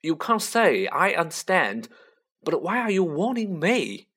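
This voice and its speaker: A woman's voice: on the low side, sing-song, measured pace, fairly bright tone, husky, pitched above the performer's own voice, full character voice. A woman voicing a young boy wizard in a fantasy audiobook, earnest and baffled, with a hesitant, questioning manner. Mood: concerned. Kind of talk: children's fantasy audiobook character voice.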